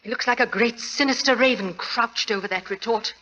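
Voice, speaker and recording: A woman's voice: fairly high pitch, fairly quick, pushed and bright, dull audio. An actress playing an anxious, imperious young lady of the house in dramatic 1940s radio style. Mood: impatient, uneasy. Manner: dramatic, breathlessly emotional.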